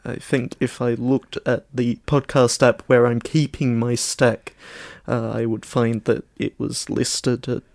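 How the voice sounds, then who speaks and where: Man, slightly low-pitched, slow talker, dry hesitant manner, casual co-host chat. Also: level tone, creaky, darkish tone, no room sound. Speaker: podcast co-host.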